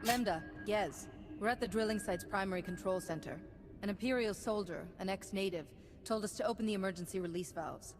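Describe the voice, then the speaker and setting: An actress playing a resolute, wry heroine in a video game, speaking in a direct and assured way. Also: female voice, mid-range voice, a little fast, music in the background, close to an everyday voice. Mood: businesslike. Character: resolute, wry Republic heroine taking charge. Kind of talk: video game cutscene acting.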